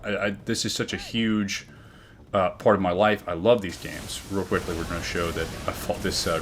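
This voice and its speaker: A man with a booming voice talking earnestly about his gaming channel, often reflective, with frequent fillers and restarts. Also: fairly bright tone. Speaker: gaming YouTuber. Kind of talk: game commentary and channel talk.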